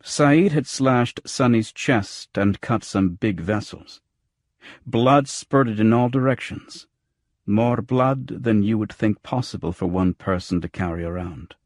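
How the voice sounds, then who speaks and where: A man's voice: on the low side, slow, no echo, studio quality. A man narrating scripted first-person noir fiction as an audiobook.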